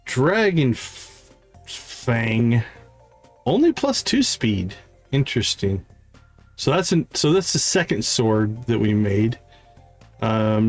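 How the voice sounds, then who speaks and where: A man livestreaming a game, thinking aloud in casual commentary and reading item stats in a deliberate, musing way. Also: mid-range voice.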